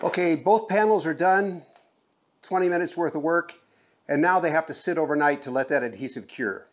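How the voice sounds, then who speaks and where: A man's voice: a little high, normal speed. Plain-spoken middle-aged man explaining a DIY job. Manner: folksy, plain-spoken instructions. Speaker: DIY vlogger. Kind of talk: casual how-to narration. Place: open garage, then outdoors on a roof.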